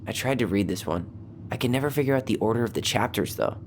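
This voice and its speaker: An actor playing a blunt, wounded young American man in a video game, with a low-key sardonic way of talking. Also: expressive, quick pace, a little hiss or hum, close to an everyday voice. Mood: dry, wry. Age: young adult. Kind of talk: naturalistic dramatic game acting.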